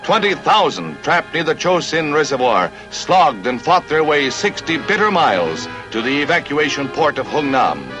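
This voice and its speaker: A man's voice: a little high, sing-song, moderate pace, hardly any pauses, rough recording. An American man narrating a scripted 1950 newsreel in a dramatic, grave, declamatory manner. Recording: vintage 1950 newsreel soundtrack with music.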